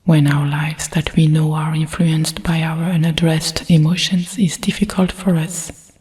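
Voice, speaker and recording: A woman with a French accent guiding a meditation in a breathy ASMR whisper, soothing and deliberate. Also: low-pitched, level tone, a little mellow.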